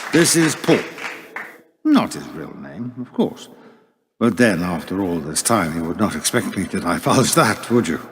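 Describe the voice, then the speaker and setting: An actor playing a suave, menacing leader of a secret cabal, speaking in pompous, measured radio-play oratory. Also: male voice, mid-range voice. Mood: smug, grandiose. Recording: long cavernous hall reverb.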